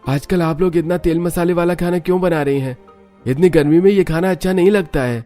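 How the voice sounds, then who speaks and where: Man playing higher-voiced scolding cartoon man. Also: steady tone, fairly quick, mellow, soft background music, close to an everyday voice. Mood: reproachful. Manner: scolding, questioning. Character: adult man in family cartoon, reproachful and concerned. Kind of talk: Hindi cartoon family drama acting.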